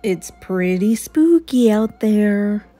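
A cautious papa bear from a storybook, played by a woman reader. The delivery is wary and dramatic, with slow, drawn-out words. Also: mid-range voice, lively intonation, mellow, faint music behind. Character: cautious father bear, worried and spooky-teasing.

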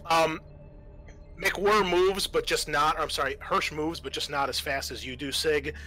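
Bright-voiced man running a tabletop game. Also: on the high side, steady tone, a little slow, rough recording. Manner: informal, descriptive, salty language. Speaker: game master. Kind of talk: narrating a tabletop horror game, improvised.

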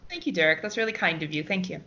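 A woman's voice: on the low side, animated, very fast, pushed and bright. A woman chatting casually as a guest on a podcast. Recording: lo-fi, noisy audio with clicks.

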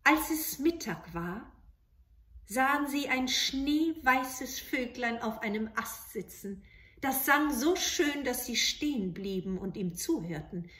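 A woman's voice: high-pitched, expressive, takes it slowly, a bit bright, roomy sound. A woman in her forties telling a children's fairy tale expressively, recorded in a room at home. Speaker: storyteller. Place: small living room with bookshelves, indoors.

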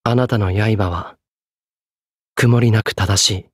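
A low, breathy, solemn young executioner. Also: male voice, steady tone, dry recording, clean studio sound. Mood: solemn, devoted. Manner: polite, measured, grave. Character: solemn, courteous young executioner, a guilt-burdened French swordsman. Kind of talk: Japanese anime game voice acting.

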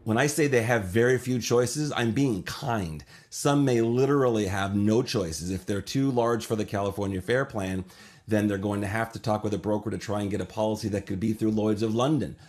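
A man's voice: fairly low pitch, normal speed, a little mellow. A man speaking as an insurance broker over a video call from a home office, explaining frankly and with emphasis. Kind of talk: interview answers.

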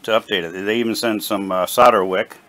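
A man giving a casual, unscripted hands-on repair demonstration in a plain, halting, explanatory way at a workshop bench. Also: on the low side, steady tone, background noise. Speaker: presenter of a radio repair video. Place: small indoor workshop at a workbench.

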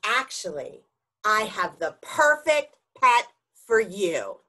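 A woman's voice: medium pitch, very animated, takes it slowly, sharp tone, heavily acted. A woman playing an eager, persuasive animal shelter worker in a children's storybook, with coaxing, exaggerated delivery. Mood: polite. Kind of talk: theatrical children's storybook read-aloud.

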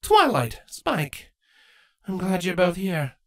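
A woman voicing a stern changeling queen and mother in a storybook reading, measured, formal and commanding. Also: lowish voice, sing-song, pitched above the performer's own voice, exaggerated voice. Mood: strained warmth.

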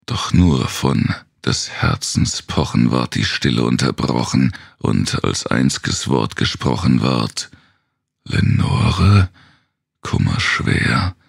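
Very deep gravelly man reciting dark poetry. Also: expressive, slow, no room sound, studio quality. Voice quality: very gravelly, husky. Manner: brooding, theatrical, dropping into whispers. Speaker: narrator. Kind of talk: dramatic gothic horror poetry recitation.

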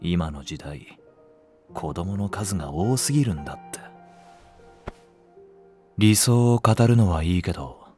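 A man's voice: low-pitched, expressive, a little slow, pauses often, darkish tone, faint music behind. An actor playing a blunt, lazy, jaded male nursery teacher in a Japanese voice drama, talking offhandedly. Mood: cool, jaded. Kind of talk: Japanese anime-style voice drama.

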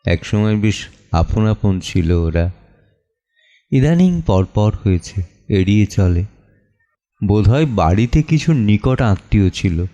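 A man playing an anguished, weary father in an audio drama monologue, speaking theatrically with heavy pauses. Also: on the low side, dark tone.

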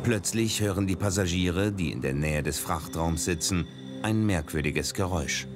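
A man narrating a documentary in a measured, grave scripted voice-over. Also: low-pitched. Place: voice-over studio. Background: dramatic documentary music.

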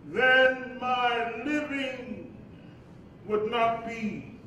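An actor playing a solemn, earnest civil rights preacher in a staged play reading, with slow, drawn-out oratory. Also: male voice, pushed and bright, full character voice. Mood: earnest, resolute. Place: indoor hall at a podium. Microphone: boom-stand microphone at podium. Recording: echoey hall, distant, faint rumbling noise.

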